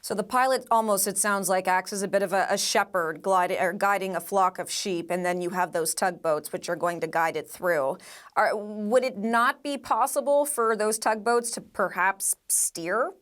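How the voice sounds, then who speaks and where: A woman's voice: mid-range voice, moderate pace. A woman working as a news anchor, putting questions to a guest in a broadcast interview.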